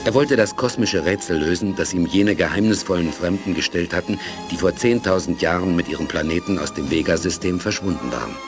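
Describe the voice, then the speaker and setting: A man as the grave, measured narrator of a sci-fi radio play, reading scripted narration. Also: low-pitched. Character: grave, measured male storyteller of space saga. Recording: music behind the narration.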